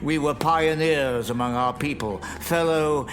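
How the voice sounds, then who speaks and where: A wistful elderly time traveller for an audio drama, drawing his halting, breathy words out slowly in a raised voice. A faint hiss of ambience sits behind him. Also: fairly high pitch, fairly bright tone, voice pushed up.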